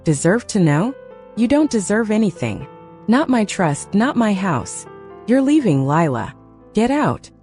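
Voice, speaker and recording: A woman's voice: medium pitch, sing-song, measured pace, a little mellow, faint music behind. A woman acting as a harsh, unforgiving mother in a roleplay story drama, accusing and cutting. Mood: cold fury. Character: furious, harsh, unforgiving mother of a teenage girl.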